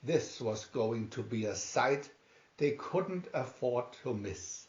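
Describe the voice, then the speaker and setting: A man reading a children's adventure story aloud as scripted narration, with dramatic, deliberate, emphatic phrasing. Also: mid-range voice, lively intonation, a little mellow. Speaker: reader of children's picture booklets. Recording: dull, echoey home recording.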